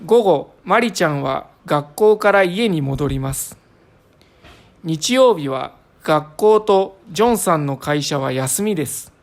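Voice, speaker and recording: A woman's voice: deep voice, expressive, very slow. A woman who is a native Japanese speaker, reading a short comprehension text aloud with care for learners. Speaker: reader of lesson passages.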